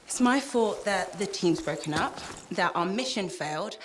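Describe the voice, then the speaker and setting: A woman's voice: fairly low pitch, animated, moderate pace, slight background noise, natural voice. A woman voicing a wistful, self-doubting mystic. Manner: rambling, wistful, self-deprecating. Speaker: cypher ally. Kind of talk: video game cutscene acting.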